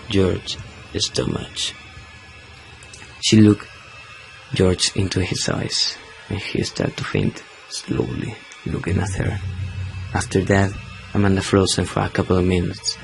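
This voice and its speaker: A man's voice: deep voice, gravelly, poor audio. A young man, a native Spanish speaker with a Chilean accent, narrating a scripted horror radio play in a dramatic, suspenseful way. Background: prominent music.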